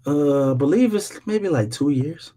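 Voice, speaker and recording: A man's voice: on the high side, lively intonation, a little slow, mellow. An American man hosting a live sports show, chatting casually with slang, jokes and some swearing. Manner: slangy, joking, profane.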